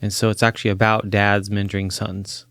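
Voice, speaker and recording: A man in his forties who grew up in Chile, a counseling professor talking as a podcast guest, earnest and animated, laughing easily. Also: lowish voice, a little fast, clean tone, no room sound, studio quality. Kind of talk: interview conversation. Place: podcast studio.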